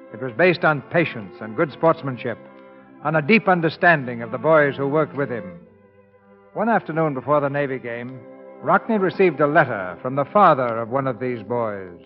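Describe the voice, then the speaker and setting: A man hosting and narrating a radio drama in scripted 1940s style. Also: medium pitch. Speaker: host and narrator. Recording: dull old radio, faint music behind.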